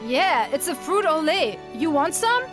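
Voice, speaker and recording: Woman doing young anime trainer girl, earnest and expressive, highish voice, music underneath. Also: measured pace. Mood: spirited, teasing. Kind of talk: anime-style manga dub acting. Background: background music.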